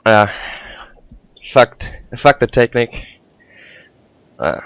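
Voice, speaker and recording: Young man, smooth low voice, dry close sound, hesitant co-caster. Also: lively intonation, fairly bright tone, no echo, rough recording. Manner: hesitant, halting, many fillers. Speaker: esports co-caster. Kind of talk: casual game commentary.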